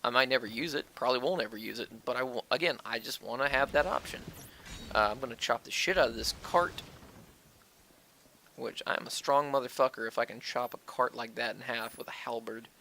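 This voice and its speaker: A man's voice: mid-range voice, a little fast, vocal fry, pushed and bright, slight background noise. A man giving casual video game commentary as host, rambling off on tangents and swearing crudely, recorded on an old, flawed stand-by mic. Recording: wonky, flawed stand-by microphone audio.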